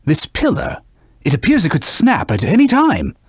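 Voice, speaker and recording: Haughty talking sword for a video game, super animated pitch, dry close sound, stern n formal, bossy battle mentor. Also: male voice, normal speed, husky, no room sound, exaggerated voice. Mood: stern, cautious. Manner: formal, scolding, urgent commands. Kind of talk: theatrical video game voice acting.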